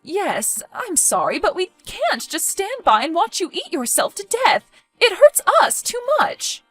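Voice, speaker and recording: A woman acts out a melodramatic, guilt-tripping mother. The voice is high and swoops widely. She is insistent and exasperated, with music behind her. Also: very animated, unhurried, fairly bright tone, full character voice. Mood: pained, firm. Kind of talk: dramatic dialogue acting.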